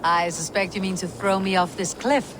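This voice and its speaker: An actress playing a proud, blunt Viking warrior leader in a video game, dry, wry and commanding. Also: female voice, fairly low pitch, steady tone, moderate pace, noisy background, close to an everyday voice. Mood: wry, sceptical. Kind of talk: dramatic video game acting.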